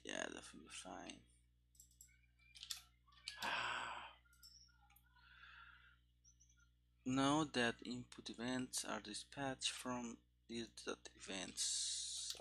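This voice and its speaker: A man thinking aloud in a casual, halting mutter as he programs, talking partly to himself. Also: medium pitch, very animated, vocal fry, pushed and bright. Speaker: programmer narrating live coding. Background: computer keyboard typing and clicking.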